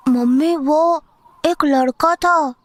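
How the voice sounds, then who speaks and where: Woman voicing a high-pitched earnest little boy. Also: drawn-out words, dry recording. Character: young boy, earnest, insistent son. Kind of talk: audio horror drama dialogue.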